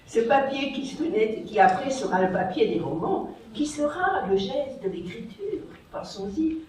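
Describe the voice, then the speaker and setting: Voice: medium pitch, lively intonation, normal speed. An audience member making an emphatic comment from the floor, with rhetorical asides. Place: large echoey library hall. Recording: echoey hall from far off, faint noise.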